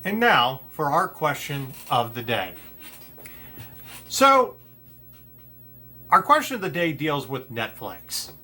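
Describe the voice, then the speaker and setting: A man hosting an entertainment show, talking casually to his audience in a halting, conversational way, often repeating phrases. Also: a little high, very animated, sharp tone. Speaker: host of an entertainment news show. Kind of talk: casual opinion talk to the audience.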